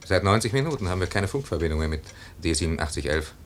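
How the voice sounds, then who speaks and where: Brisk, low-voiced airline clerk. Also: male voice, lively intonation. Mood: dutiful, uneasy. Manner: brisk, formal, terse reporting. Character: deferential male airline clerk reporting dutifully. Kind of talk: 1950s German radio drama acting.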